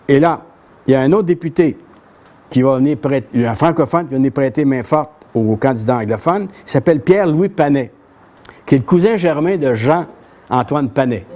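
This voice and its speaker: An older Québécois man gives a relaxed history lecture in a small brick-walled café. His voice sits a little high for a man, yet sounds mellow and dark in tone. He talks plainly, reading passages aloud from a tablet. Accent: Québécois French. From Quebec. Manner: plain conversational telling, reads quoted speeches with lifted pitch. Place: small bookshop café with brick walls, before an audience.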